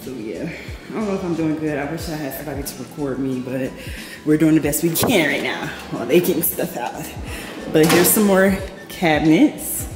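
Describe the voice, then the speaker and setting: Woman vlogger, low relaxed voice, hyped "boom, period" delivery, empty echoing apartment with music under it. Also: moderate pace. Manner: chatty, upbeat, says "boom" and "period" a lot. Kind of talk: casual apartment tour vlog. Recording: echoey empty room with music behind. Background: background music.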